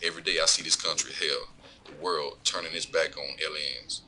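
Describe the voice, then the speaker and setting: Man acting a menacing, very deep-voiced corrupt lawman. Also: fairly even intonation, brisk, sharp tone, exaggerated voice, low-quality recording. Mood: smug menace. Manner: taunting, profane, coolly threatening. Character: corrupt, smug lawman blackmailing an informant, menacing. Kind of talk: scripted audio drama dialogue.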